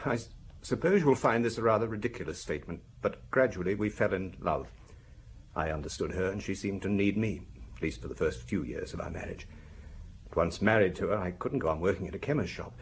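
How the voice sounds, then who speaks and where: A man's voice: lowish voice, a little hiss or hum. A man in a radio drama playing a defensive, bitter pharmacist of forty, precise and prickly in his speech. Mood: wistful. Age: 40s. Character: defensive, bitter forty-year-old male provincial pharmacist suspect.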